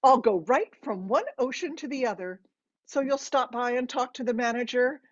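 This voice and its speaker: A woman's voice: fairly high pitch, moderate pace, muffled recording, voice pushed up, close to an everyday voice. A woman narrator voicing a breezy, self-absorbed eighty-year-old mother with a theatrical, insistent manner in an audiobook story. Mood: chirpy, eager.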